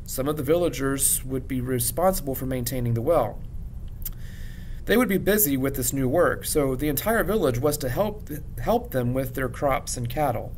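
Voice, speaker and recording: A man reading a children's novel aloud like a teacher narrating to students, with low rumble behind him. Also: animated, normal speed, a little mellow. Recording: clear background rumble noise.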